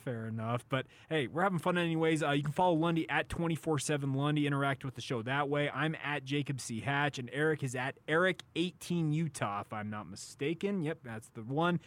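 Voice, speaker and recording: A man's voice: fairly high pitch, brisk, hardly any pauses, no room sound. A man hosting a sports radio show in an upbeat, informal, chatty way. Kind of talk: casual sports talk radio chat.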